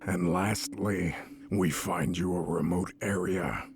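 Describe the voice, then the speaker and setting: An actor playing a dark necromancer god with a slow, imperious and formal manner, in an audio drama. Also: male voice, sits low, steady tone, slight background noise. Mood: cold, commanding.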